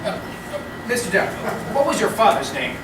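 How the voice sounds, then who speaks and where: Male actor as patient questioning psychiatrist, stage comedy, slightly high voice, distant pickup. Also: sing-song, brisk. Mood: inquiring. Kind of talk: theatrical comedy acting. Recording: distant, echoey, noisy stage recording.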